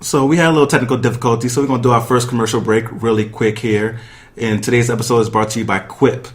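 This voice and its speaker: A man with a mid-pitched voice hosts a sports talk podcast. His delivery is informal and chatty, and he speaks straight to his listeners and guests. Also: fairly even intonation, fast talker. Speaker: podcast host. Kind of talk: casual sports talk with guests and sponsor reads.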